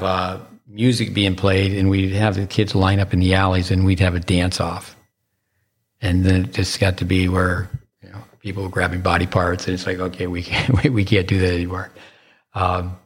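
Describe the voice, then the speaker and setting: A man hosts a tennis podcast in loose, rambling talk, stumbling and repeating words as he goes. His voice is husky and gravelly and sits fairly low. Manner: rambling, repetitive, stumbling restarts. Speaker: podcast host and tennis coach. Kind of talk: casual interview chat.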